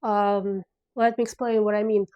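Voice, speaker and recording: A woman's voice: medium pitch, flat delivery, normal speed, dark tone. A woman with a Russian accent, a non-native English speaker, talking through her filmmaking plans in a casual video diary, hesitant and searching for words. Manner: hesitant, many fillers and restarts, searching for words. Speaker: filmmaker vlogger.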